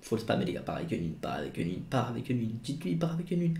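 Young man, 20s, casual YouTuber chat, rambling with fillers, voice of middle pitch, roomy echo. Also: sing-song, fast, vocal fry, darkish tone. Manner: rambling, many fillers and restarts. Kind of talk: casual vlog to camera. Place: home studio room.

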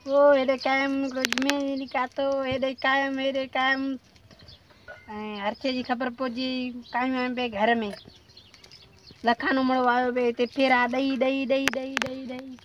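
Woman rambling a story outside in a village, kinda flat delivery, dull muffled audio. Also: high-pitched, slow, a little hiss or hum. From Sindh, Pakistan. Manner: repetitive, rambling retelling. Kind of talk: casual storytelling. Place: rural village outdoors.

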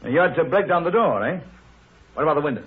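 An actor playing a gruff, officious police inspector, blunt and husky, in 1930s radio drama style. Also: male voice, on the high side, lively intonation, fast talker, a little hiss or hum, natural voice. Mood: brisk, inquisitive. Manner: blunt, colloquial. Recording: thin, phone-like 1930s radio broadcast.

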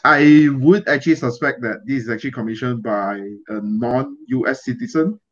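A middle-aged man with a Malaysian accent, casually hosting a livestream interview in a halting way. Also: mid-range voice. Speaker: host. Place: indoor room, on a video call. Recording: dull, lo-fi video-call audio.

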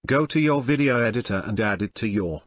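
A synthetic text-to-speech man's voice, giving scripted step-by-step tutorial narration in a measured, instructional way. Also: medium pitch, expressive, no echo.

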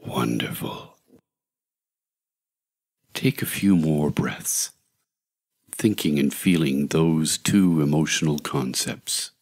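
A man narrating a scripted guided meditation in a measured, soothing, deliberate way, with long pauses. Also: very deep voice, vocal fry, a little mellow, slightly rough.

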